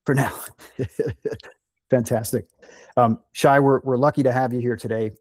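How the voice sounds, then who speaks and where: A man's voice: medium pitch, fairly even intonation, moderate pace, a little mellow, no room sound, clean studio sound. A man interviewing a guest, upbeat but hesitant, with many ums. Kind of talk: casual interview.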